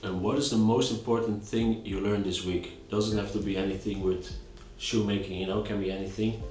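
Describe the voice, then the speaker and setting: A man's voice: fairly low pitch, fairly even intonation, fairly quick, a little mellow, roomy sound, rough recording. A man, a shoemaking teacher, interviewing his student in an encouraging, friendly way. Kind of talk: casual interview questions.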